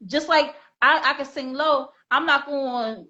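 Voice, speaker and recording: A young woman with a high, bright voice hosts a casual interview. She talks with emphasis and circles back over her words. The sound is somewhat dull and lo-fi. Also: measured pace. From Philadelphia. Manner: emphatic, repeats words while building a point. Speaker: host and singer.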